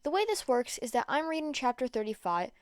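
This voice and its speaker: A woman's voice: sits high, sing-song, a little fast, dry recording, clean studio sound. A woman reading fan fiction aloud as an amateur audiobook narrator. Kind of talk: audiobook-style story narration.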